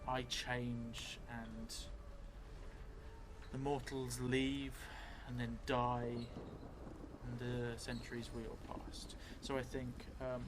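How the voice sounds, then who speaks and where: A middle-aged man improvising narration for a solo tabletop game, thinking aloud thoughtfully in halting, short phrases. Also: medium pitch, flat delivery, background noise. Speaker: solo role-playing streamer. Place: indoor room set up for streaming, seated at a table. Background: music.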